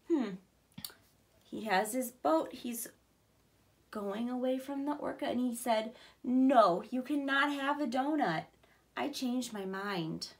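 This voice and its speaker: High-voiced woman reading to small children. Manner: expressive, explaining simply to small children. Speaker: early childhood teacher. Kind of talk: children's read-aloud with questions and commentary. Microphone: camera a short distance away.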